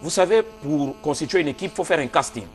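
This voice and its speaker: A man on a televised political debate panel, firm and quick to contradict the others. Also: fairly high pitch. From Gabon.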